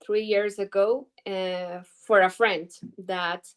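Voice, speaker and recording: A young woman from Ecuador speaks English slowly, with an Ecuadorian Spanish accent. She is a native Spanish speaker and hesitates often, filling pauses with "uh" and "um" as she hunts for the right word. She answers questions as an interview guest. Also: medium pitch, steady tone, clear, resonant tone. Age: 20s. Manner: halting, searching for English words with many fillers. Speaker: guest trader. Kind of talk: interview answers over video call.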